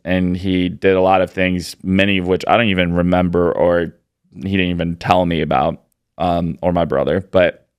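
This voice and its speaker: Podcast guest: man in his thirties from Poland, very low and smooth voice, dry close sound, easygoing and rambling. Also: dry recording. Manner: relaxed, rambling, many fillers. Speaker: podcast guest, business owner. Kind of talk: casual interview answers.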